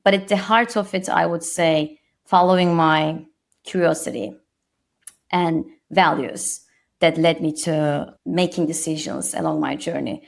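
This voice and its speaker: Woman, Turkish accent, thirties, podcast guest, modest, reflective, slightly low voice. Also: unhurried, creaky. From Turkey. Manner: reflective, modest, many restarts. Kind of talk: podcast interview.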